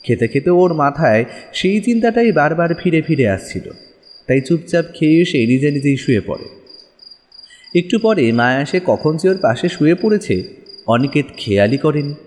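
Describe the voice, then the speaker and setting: A man narrating a village ghost story in a dramatic, expressive storytelling manner. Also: on the high side, moderate pace, long reverb tail, slight background noise.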